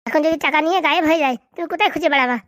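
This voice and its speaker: A woman voicing a sharp-tongued grown daughter in a comedy cartoon, sarcastic and nagging. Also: high-pitched, rapid-fire, no room sound, studio quality. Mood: exasperated.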